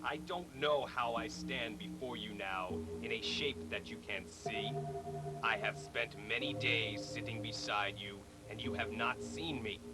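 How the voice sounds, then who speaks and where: A man voicing a mournful, regretful ghost in chains who warns gravely and solemnly in a storybook read-along. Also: fairly low pitch, lightly acted, rough recording. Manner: grave, slow, wailing, solemn.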